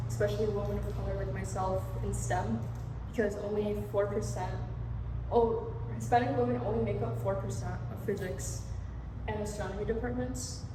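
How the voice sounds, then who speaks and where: A teenage girl reads her class presentation aloud, soft-spoken and pausing with fillers. She stands in a classroom, caught by a camera across the room, so her voice sounds roomy and distant with a steady hum behind it. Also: mid-range voice. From United States. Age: teens. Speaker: student presenter. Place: classroom with screen. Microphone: far camera. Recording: echoey room from far off.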